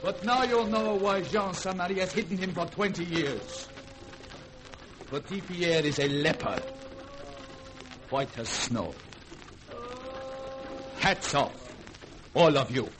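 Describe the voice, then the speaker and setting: An actor playing an anguished, resolute French Creole gentleman in a vintage radio drama, speaking formally and dramatically. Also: high-pitched, slow, pauses often. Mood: solemn. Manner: formal, impassioned, dramatic delivery. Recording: noisy vintage radio recording.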